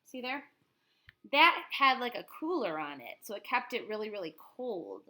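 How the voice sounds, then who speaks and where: Female teacher read-aloud: bright, forward, slightly high voice, expressive and explanatory, speaking straight to kids. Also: normal speed. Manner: expressive, explanatory, addresses children directly. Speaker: teacher reading to children. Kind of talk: animated picture-book read-aloud with informal asides.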